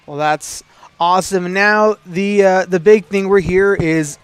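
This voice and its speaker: High-voiced young man hosting. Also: fairly even intonation, unhurried, clear, resonant tone, no echo. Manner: laughs often, upbeat. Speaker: host of a coaches show. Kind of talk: casual interview.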